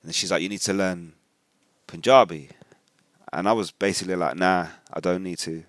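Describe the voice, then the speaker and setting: A man's voice: low voice, steady tone, fairly quick, a bit bright, no room sound. A man from England with an English accent gives informal religious commentary in colloquial, slangy speech, often acting out what other people say. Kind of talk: informal spoken commentary with personal anecdotes.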